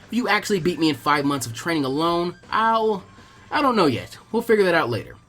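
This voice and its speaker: A man's voice: fairly high pitch, big pitch swings, fairly quick, fairly bright tone, voice pushed up. A man voicing a teenage girl ninja in a story reading, casual and teasing, a supportive mentor to a younger one. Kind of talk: audiobook character acting.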